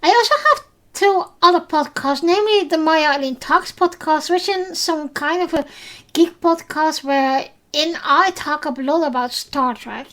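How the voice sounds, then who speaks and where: A Dutch woman hosts a solo podcast recapping episodes, speaking English as a second language. Her voice sits high, and she talks slowly. She hesitates often, filling pauses and restarting her sentences. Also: a bit bright. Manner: hesitant, many fillers and restarts. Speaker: podcast host.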